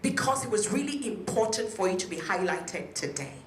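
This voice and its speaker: A woman from Nigeria speaks into a mic in a hotel conference room, emphatic and engaging, her voice at a middling pitch. The room is echoey, with a faint hum of ambience behind her. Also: sing-song, brisk. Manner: emphatic, engages the audience with questions. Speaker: speaker at a peace event. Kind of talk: motivational talk to an audience.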